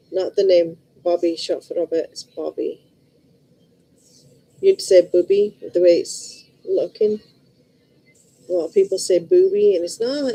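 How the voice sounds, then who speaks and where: A middle-aged woman with a Liverpool accent talks casually to her viewers, rambling from one thought to the next. Her voice is mellow and warm at a middling pitch. She speaks bluntly and chattily. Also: creaky, poor audio. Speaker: vlogger. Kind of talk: casual chat to camera.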